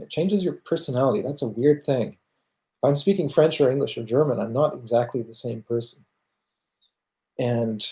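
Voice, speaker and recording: A man with a Canadian accent answering viewer questions in casual livestream talk, thoughtful and halting as he goes. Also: medium pitch, gravelly, dark tone. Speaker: music channel host answering viewer questions.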